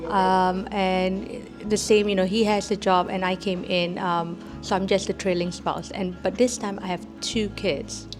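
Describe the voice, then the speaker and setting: A woman's voice: mid-range voice, little pitch movement, unhurried, few pauses. A woman from Singapore, a mother answering interview questions hesitantly with many fillers. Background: background music.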